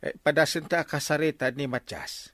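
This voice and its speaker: A man with an Ilocano accent playing an excitable, scheming village captain in a Filipino comedy radio drama. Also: a little high, moderate pace, dry recording, close to an everyday voice. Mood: eager. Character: excitable scheming male village captain chasing buried riches.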